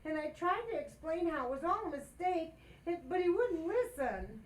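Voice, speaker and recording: A woman plays a radio-comedy housewife with a very high voice. She is flustered, defiant and emphatic, explaining herself with exasperation and quick sarcasm. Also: big pitch swings. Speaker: community theater actor. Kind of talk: old-time radio comedy acting.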